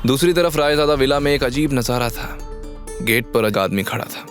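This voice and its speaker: A man's voice: mid-range voice, moderate pace, soft background music. A man narrating a scripted audio drama story with dramatic delivery.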